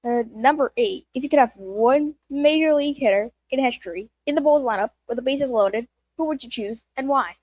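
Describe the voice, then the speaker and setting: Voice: high voice, brisk, darkish tone, no echo. A junior reporter reading out numbered interview questions.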